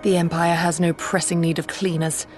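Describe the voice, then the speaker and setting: A woman's voice: fairly low pitch, flat delivery, normal speed, a little mellow, faint music behind, natural voice. A woman playing a grave, resolute warrior retainer in a video game, with formal, archaic diction. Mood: grave, cautious. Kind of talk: video game cutscene acting.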